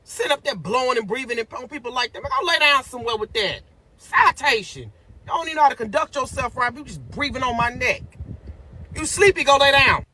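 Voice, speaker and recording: Very high-pitched man ranting, noisy clip. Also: animated, a little fast, sharp tone. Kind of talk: comic complaint rant. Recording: noisy clip with room ambience.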